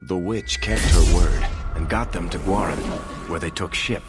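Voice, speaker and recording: A man's voice: low-pitched, normal speed, over music, close to an everyday voice. A man playing a wry, theatrical storyteller under interrogation in a fantasy game cutscene. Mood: dramatic narrating. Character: smooth, sardonic male storyteller under interrogation. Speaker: storyteller narrator.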